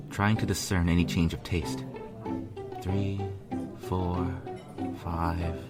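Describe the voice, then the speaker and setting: A man reading a first-person story aloud as narrator, with an earnest, measured, brooding delivery. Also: low-pitched. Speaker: fan fiction reader, narrator. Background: instrumental music.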